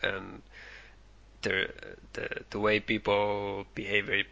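A man with a Finnish accent talks thoughtfully, with plenty of ums between phrases. His voice has a gravelly edge and a bright, tense tone. The recording sounds dull and lo-fi. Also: lowish voice, completely flat delivery, slow pace. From Finland. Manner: thoughtful, frequent fillers, short agreements. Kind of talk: casual film discussion.

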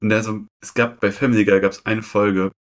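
Man, twenties, lowish voice, casual podcast chat, rambling, halting delivery. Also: level tone. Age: 20s. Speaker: podcast co-host.

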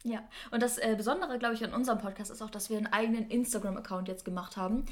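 Fast-talking, giggly young woman podcaster. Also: on the high side, fairly even intonation. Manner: giggly, enthusiastic, gushing. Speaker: podcast co-host. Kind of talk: casual podcast chat.

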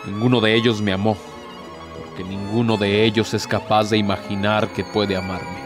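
An actor playing a proud, tormented, bitter devil in a radio drama, speaking in a solemn, theatrical, declamatory way. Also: male voice, on the low side, flat delivery, normal speed, over music, close to an everyday voice.

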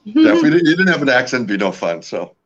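A man's voice: fairly high pitch, huge pitch swings, quick pace, crowd noise. A man from California, raised speaking French at home, talks casually about his company's products in an interview. He rambles and stops often to fill gaps with "uh" and "you know". The recording is dull and lo-fi. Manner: rambling, many fillers and restarts. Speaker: company representative.

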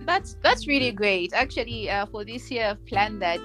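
A woman in her thirties from Malawi, a finance executive, explaining things at a measured pace as a podcast guest, with many ums and uhs. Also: medium pitch, expressive, over music. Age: 30s. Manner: measured, explanatory, with frequent fillers. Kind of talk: podcast interview.

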